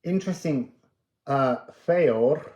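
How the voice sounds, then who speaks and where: Slow-talking man in an echoey room. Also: mid-range voice, a little mellow, muffled recording. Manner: musing, meandering, drifting between thoughts. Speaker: oracle card reader and host. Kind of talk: unscripted spiritual card reading.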